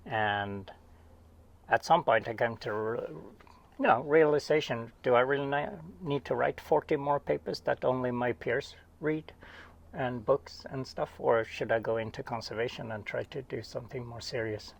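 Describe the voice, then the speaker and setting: A man's voice: mid-range voice, normal speed, vocal fry. A middle-aged man from Sweden with a Swedish accent, a guest oceanographer chatting casually and self-deprecatingly, recorded in a small cabin. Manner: rambling, self-deprecating, with laughs and restarts. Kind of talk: casual interview chat.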